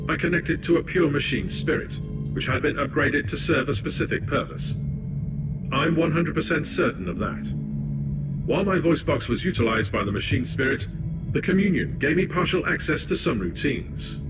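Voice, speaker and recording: A man's voice: on the high side, animated, moderate pace, over loud music, lightly acted. An actor playing a clinical, devout male cyborg tech priest in a video game, speaking in a formal, procedural manner. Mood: assured, reverent. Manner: formal, precise, stiffly procedural. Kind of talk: scripted video game voice acting.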